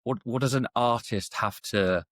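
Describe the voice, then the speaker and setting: A man's voice: mid-range voice, normal speed, fairly bright tone, no echo, studio quality. A man hosting a podcast interview, polite and repeating words as he restarts his questions. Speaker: podcast host.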